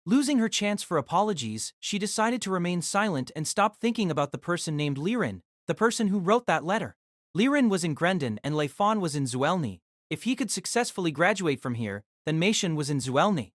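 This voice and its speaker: A narrator telling a fan audio drama story in an expressive storytelling manner. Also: medium pitch, a little fast, no room sound, clean studio sound.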